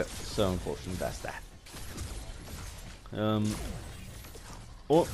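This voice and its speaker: A man giving rambling, casual gaming commentary to viewers, often stumbling and restarting his phrases, with an earnest fan's enthusiasm. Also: lowish voice. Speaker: gaming vlogger.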